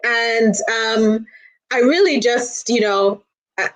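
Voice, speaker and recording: A woman's voice: on the high side, slow pace, low-quality recording. A woman talking casually and chattily as an interview guest, often saying "you know" as she explains. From Nigeria. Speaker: guest, children's storyteller.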